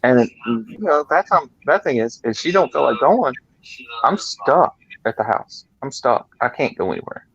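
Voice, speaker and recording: A man's voice: medium pitch, big pitch swings, normal speed, slightly rough, no room sound, muffled recording. A man, rambling with stammered restarts in a casual group chat. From Georgia, USA. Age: 40s. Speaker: chat participant.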